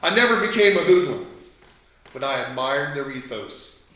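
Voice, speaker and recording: A man's voice: medium pitch, lively intonation, normal speed, pushed and bright. A middle-aged man reading a scripted memoir story aloud as its author. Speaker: author reading own work. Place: indoor event room at a book launch. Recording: very echoey room recording.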